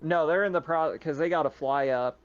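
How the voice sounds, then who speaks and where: A man's voice: on the high side, moderate pace, lo-fi sound, over a noisy crowd. A man improvising casual, informal narration as game master of a tabletop roleplaying game, with some swearing.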